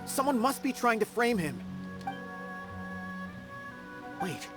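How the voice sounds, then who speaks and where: A man's voice: very high voice, big pitch swings, normal speed. A man voicing an earnest, anxious, loyal junior investigator in a video game, speaking expressively. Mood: disbelieving, defensive. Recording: background music.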